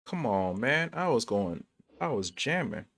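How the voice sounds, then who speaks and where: A man's voice: mid-range voice, sing-song, unhurried, gravelly, a bit bright. A man livestreaming a game with casual, slangy, laid-back commentary. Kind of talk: casual reactive gameplay commentary.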